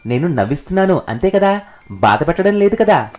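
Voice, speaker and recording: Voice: medium pitch, very animated, brisk, crowd noise, pitched above the performer's own voice, lightly acted. A narrator voicing a cheerful, simple, clownish young cowherd man with an innocent manner in a cartoon story. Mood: playful. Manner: playful, innocent.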